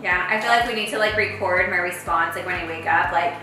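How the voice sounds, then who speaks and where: A woman's voice: fairly low pitch, quick pace, sharp tone. A woman in her late twenties chatting casually as a vlogger while cooking in a home kitchen, bubbly and full of "like"s. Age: late 20s. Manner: chatty, bubbly, heavy use of "like". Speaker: lifestyle vlogger. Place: large home kitchen, indoors. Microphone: far camera mic. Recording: echoey kitchen from far off, background music.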